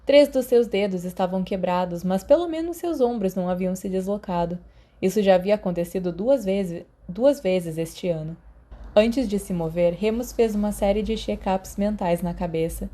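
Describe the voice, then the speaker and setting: Mellow Brazilian woman narrating fiction. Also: medium pitch, normal speed. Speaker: audiobook narrator.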